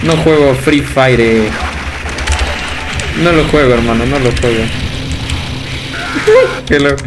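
A man's voice: medium pitch, slow pace, rough recording. A young Mexican man with a Mexican Spanish accent, chatting casually with viewers during a livestream, laughing often and calling them brother. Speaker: game streamer. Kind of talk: casual livestream chat reading viewer comments. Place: indoor room at home. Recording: game music loud behind voice.

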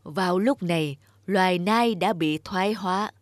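A woman voicing a wise, patient old male tree spirit who teaches in a measured way in an animated fable. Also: fairly low pitch, dry recording, clean studio sound, close to an everyday voice. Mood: grave, explaining. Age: older. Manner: measured, didactic, gently instructive.

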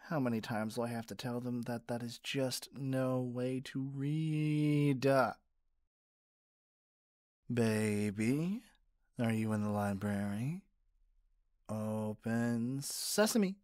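A man acting in an ASMR roleplay as a gentle, doting caregiver, speaking softly in a soothing, coaxing manner. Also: mid-range voice, slow, pauses often, no room sound, clean studio sound, natural voice. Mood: playful searching. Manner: soft, soothing, playful coaxing. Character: gentle, doting male caregiver comforting a partner.

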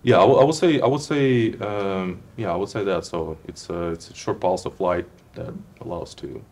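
A man's voice: low-pitched, normal speed, a little mellow. A young man on a science panel, answering audience questions hesitantly with many fillers, in a lecture room. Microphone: handheld mic. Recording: echoey room from far off.

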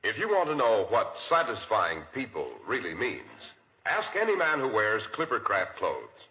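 Male 1940s commercial announcer, extremely animated intonation, dull vintage recording. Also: on the low side, normal speed. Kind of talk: 1940s radio advertising read.

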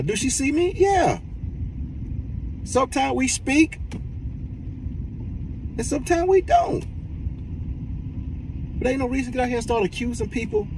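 A middle-aged man talks into a phone held at arm's length inside a car. His voice swings up and down a lot as he presses his points with blunt, profane exasperation. Car rumble and street noise sit clearly behind him, and the phone picks him up from a little way off. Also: darkish tone, distant mic, rough recording. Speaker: reaction vlogger. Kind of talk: casual commentary rant. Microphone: phone camera at arm's length.

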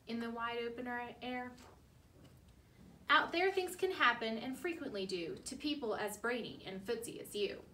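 Female storybook reader, thirties, high voice, measured rhyming delivery; distant mic with some background noise. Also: fairly bright tone, roomy sound. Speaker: school librarian reading aloud. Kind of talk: children's picture book read-aloud.

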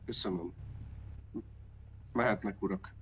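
A man's voice: sits low, noisy background. A man playing a negotiator in an online roleplay game, talking casually and relaying messages. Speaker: roleplay player acting as negotiator.

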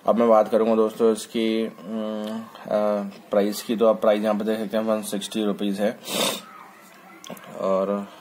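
A man's voice: on the low side, monotone, a little slow, a little mellow, a little hiss or hum. A man talking casually to camera in a product review vlog, with hesitant fillers and restarts, recorded indoors on a phone. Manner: informal, fillers and restarts, mixes English words. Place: indoors, hands handling bottle on a table.

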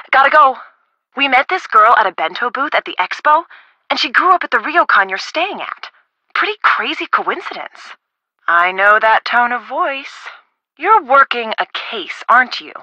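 Perky, lively young woman. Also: high-pitched, dry recording, highs cut off, heavily acted. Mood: breezy, curious. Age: young adult. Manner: casual, perky, teasing. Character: breezy, teasing young female friend and amateur sleuth. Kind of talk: video game voice acting.

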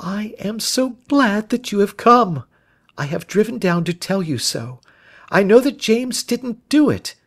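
Male narrator as young Victorian woman, high pitch, animated, earnest, fervent, pleading, storybook. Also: measured pace, exaggerated voice.

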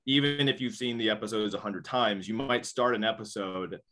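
Low-voiced man rambling on a video call. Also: normal speed, fairly bright tone. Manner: rambling, with many restarts and fillers. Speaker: podcast guest. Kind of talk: casual interview chat. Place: small indoor room.

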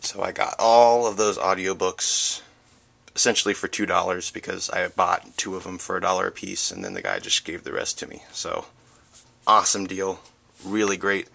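Deep, creaky-voiced man, casual vlogger. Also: expressive, moderate pace, bright, forceful tone. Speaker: book vlogger. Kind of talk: casual chat showing a collection item by item. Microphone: handheld camera, close behind it. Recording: noisy home camera audio with handling clicks.

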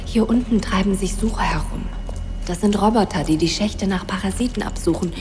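A woman playing a resourceful young woman who talks quietly and often whispers to stay hidden. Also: medium pitch, brisk, soft background music, close to an everyday voice. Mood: hushed. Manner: hushed, practical, often whispering.

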